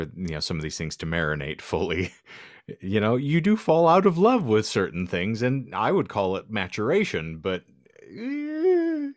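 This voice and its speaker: A man's voice: lowish voice, no room sound, clean studio sound. A man co-hosting a podcast, talking casually in a wry, wordy way with an ornate vocabulary. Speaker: podcast co-host.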